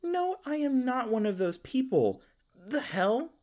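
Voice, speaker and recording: A man's voice: very high voice, very animated, a little slow, darkish tone, heavily acted. A man playing a flustered, skittish young elf park ranger in an audio roleplay, stammering and awkward with goofy folksy exclamations. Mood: exasperated, frantic.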